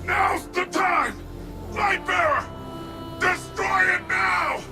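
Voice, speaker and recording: An actor playing a resolute, self-sacrificing veteran sci-fi warrior in a game cutscene, with a gravelly, urgent delivery. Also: male voice, very high voice, slow, over music, exaggerated voice. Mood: urgent, straining. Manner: forceful, urgent, heroic. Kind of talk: video game cutscene acting.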